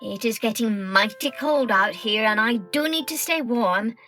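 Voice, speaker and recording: A woman's voice: fairly high pitch, soft background music, pitched above the performer's own voice. A woman voicing a haughty, demanding male magpie in a children's story, with a screechy, imperious, complaining delivery. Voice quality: bright, sharp, screechy. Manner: imperious, fussy, drawn-out complaining.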